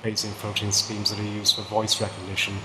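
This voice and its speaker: Quiet, low-voiced Englishman on a panel. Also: completely flat delivery. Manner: soft-spoken, halting restarts. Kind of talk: academic panel discussion. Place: seminar room with a panel table. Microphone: table microphone. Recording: echoey room with background noise.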